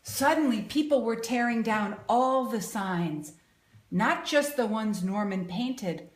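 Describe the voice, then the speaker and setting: A woman reads a children's picture book aloud at an unhurried pace, her voice sitting a little high. She reads expressively, stopping to ask the children questions. The room around her gives off a noticeable echo. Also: expressive. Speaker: storybook reader and educator.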